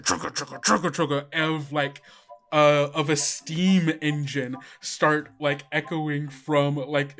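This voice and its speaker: A man's voice: a little high, a bit bright. A man narrating as game master of a tabletop game, rambling and loose with lots of fillers.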